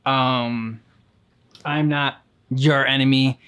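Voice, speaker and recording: Male reaction co-host, mid-range voice, loose conversational delivery, halting and rambling. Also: mid-range voice, steady tone, a bit bright, smooth. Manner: halting, rambling, casually profane. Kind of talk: casual reaction chat.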